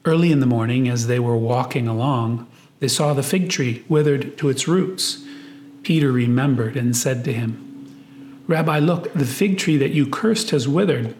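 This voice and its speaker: A man speaking as a priest, guiding a meditation with prayer and scripture in an unhurried, reflective manner. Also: medium pitch, darkish tone, slight background noise. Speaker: priest leading guided prayer. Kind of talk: guided meditation, spoken prayer and scripture reading.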